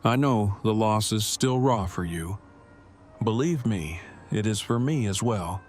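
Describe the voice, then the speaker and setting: A man playing a formal, wordy, deadpan young father and husband in an audio drama, with precise phrasing. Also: fairly low pitch, unhurried, soft background music. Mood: calm, reassuring. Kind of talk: fan audio drama acting.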